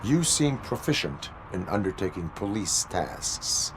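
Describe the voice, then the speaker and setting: An actor playing a stern cyborg police officer who speaks in clipped, formal, emotionless lines. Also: male voice, low-pitched, big pitch swings, measured pace, noisy background. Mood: flat.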